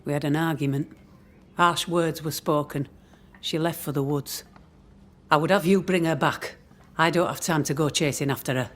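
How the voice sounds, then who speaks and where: A woman's voice: deep voice, steady tone, moderate pace. A hard, resentful woman who leads colonial settlers in a video game. She speaks curtly and with command, in old-fashioned phrasing. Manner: curt, commanding, archaic phrasing. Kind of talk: dramatic game dialogue.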